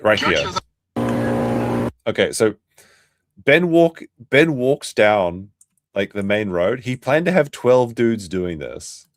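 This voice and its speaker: A man's voice: on the low side, sing-song, slow pace, long pauses, no room sound, clean studio sound. A man hosting a late-night livestream, rambling in an offhand way and restarting his sentences. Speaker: streamer host.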